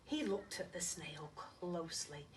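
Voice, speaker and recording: Very animated woman reading a children's storybook. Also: lowish voice, measured pace, distant mic, a little hiss or hum. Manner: animated, dramatic, addresses young listeners with questions. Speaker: primary school teacher reading to children.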